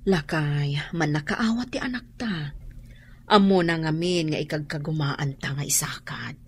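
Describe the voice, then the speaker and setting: A woman's voice: low voice, a little slow, breathy edge, exaggerated voice. A woman playing a fretful, concerned mother of grown children in a radio drama, with a northern Philippine accent. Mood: worried, pleading.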